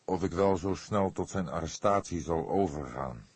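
Man voicing a very deep, husky old detective. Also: darkish tone, no room sound. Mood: thoughtful, doubtful. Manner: hesitant, deliberate, measured. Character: old, weary, thoughtful, unhurried male police detective.

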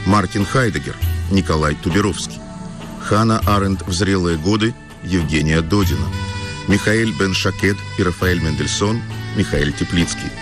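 A man's voice: low voice, normal speed. A man announcing a radio drama's credits in a formal, scripted manner. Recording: loud music behind the voice.

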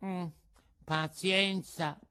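A man voicing a whiny, hungry boy puppet in a storybook reading, talking in a high, squeaky, pleading way. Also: fairly even intonation, very slow, sharp tone, clean tone, full character voice. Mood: whining.

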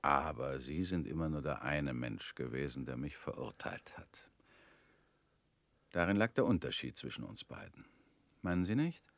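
An actor playing a bitter former convict in a radio play, talking in a measured, brooding way. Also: male voice, extremely low pitch, expressive, vocal fry, dry recording, full character voice. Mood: probing.

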